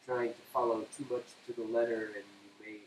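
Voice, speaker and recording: A man's voice: medium pitch, level tone, brisk. A man chatting casually as a co-host helping out with the cooking. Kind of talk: casual chat. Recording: echoey room with faint hiss.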